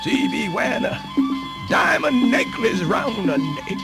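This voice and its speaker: A man telling a folktale for radio theatre in a folksy, exclamatory, drawn-out storytelling manner. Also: very high voice, completely flat delivery. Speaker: storyteller narrator. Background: music.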